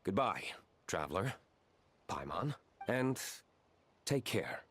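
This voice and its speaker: An actor playing a stern, reserved young aristocrat in a video game, speaking in a formal, restrained manner. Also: male voice, lowish voice, sing-song, slow, a bit bright, slightly rough, heavily acted. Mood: curt.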